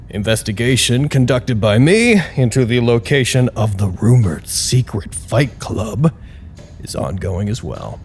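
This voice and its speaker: Low-voiced wry male site announcer. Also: a little hiss or hum. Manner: wry, deadpan asides. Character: chatty male site announcer broadcasting dry wry reports. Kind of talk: scripted in-character radio broadcast. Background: music.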